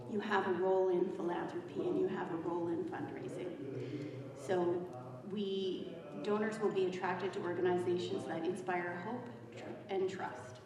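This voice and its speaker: A woman gives an earnest, steady talk at a conference, circling back to her main points. She sounds distant in a large reverberant hall. An audience murmurs in the background. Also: on the low side. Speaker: fundraising consultant. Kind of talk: conference presentation. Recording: echoey hall from far off, audience noise.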